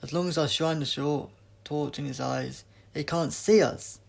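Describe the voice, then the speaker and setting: A man's voice: fairly high pitch, fairly even intonation, unhurried, gravelly. A man voicing a quirky, chatty adventurer hero in an audiobook story, with an exclamatory, playful and rambling manner. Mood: excited, urgent.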